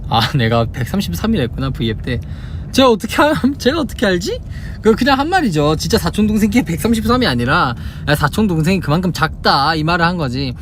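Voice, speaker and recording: A man's voice: high voice, brisk. A young man from Busan with a Busan Korean accent, chatting casually in a livestream, answering fan questions and demonstrating dialect phrases. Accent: Busan Gyeongsang Korean. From Busan, South Korea. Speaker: idol livestream host. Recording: steady car noise behind.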